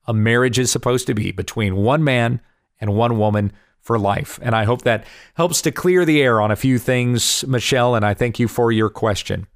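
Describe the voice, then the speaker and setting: A man in his forties, slightly hoarse from allergies, earnestly explaining Bible passages and answering viewer questions. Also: mid-range voice, dry recording, studio quality. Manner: earnest, explanatory, reads scripture aloud. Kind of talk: casual Bible teaching video. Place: home in Kansas.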